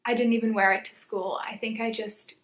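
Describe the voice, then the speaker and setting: A woman's voice: a little high, level tone, a little fast. A woman in her twenties from South Africa, chatting casually on her channel, with frequent laughs and fillers. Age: 20s. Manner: chatty, laughs often, many "um"s and "like"s. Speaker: YouTuber. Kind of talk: casual get-to-know-me chat. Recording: echoey room.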